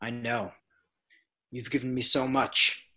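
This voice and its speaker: A man playing a brooding, power-hungry young dark warrior, husky and intense, in a fan table read. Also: mid-range voice, level tone, heavily acted. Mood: cold. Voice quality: husky, breathy. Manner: slow, intense, deliberate.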